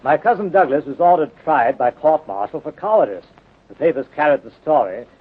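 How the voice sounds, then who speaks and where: A muffled vintage radio recording carries a well-bred military gentleman. He sounds polite, reserved and troubled, with a slightly high, husky voice. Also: expressive, brisk. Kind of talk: 1930s radio drama acting. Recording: muffled, narrow-band 1930s radio recording.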